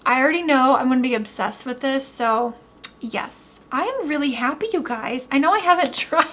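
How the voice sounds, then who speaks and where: A young woman in a beauty unboxing vlog, gushing and excited while she is losing her voice. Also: high voice, normal speed. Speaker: beauty vlogger.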